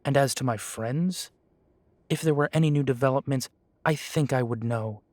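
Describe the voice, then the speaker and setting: A man's voice: mid-range voice, moderate pace, dry recording, lightly acted. An actor playing a defiant young male werewolf who answers the council with dry, mocking politeness. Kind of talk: audio drama acting.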